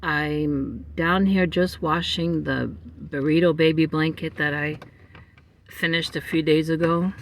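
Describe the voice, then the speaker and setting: A man's voice: fairly high pitch, fairly even intonation, a bit bright, a little hiss or hum. Chatty middle-aged man vlogging from his car. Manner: chatty, meandering, frequent um pauses. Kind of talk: casual rambling chat to viewers. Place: parked car in a parking lot. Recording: slightly distant phone mic with faint ambience.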